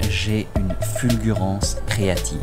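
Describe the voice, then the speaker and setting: A man's voice: lowish voice, fairly even intonation, slow pace, over loud music. A man playing a self-important creative marketing colleague in an audio comedy, portentous in delivery. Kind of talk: audio comedy drama acting.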